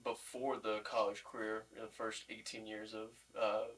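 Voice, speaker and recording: Male podcast co-host, off-mic. Level, unvaried pitch, casual and wordy interviewer. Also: little pitch movement, normal speed, fairly bright tone, slight background noise. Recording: distant from the mic.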